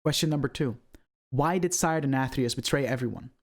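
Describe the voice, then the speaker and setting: A man's voice: mid-range voice, fairly quick. A man hosting an online video, giving scripted commentary on video game lore in a presenter's style.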